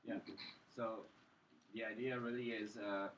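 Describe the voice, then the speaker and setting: A man giving an informal live pitch as co-presenter of a tech project. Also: level tone, a little slow, fairly bright tone, dull audio. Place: live event room. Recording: distant mic, clearly noisy room.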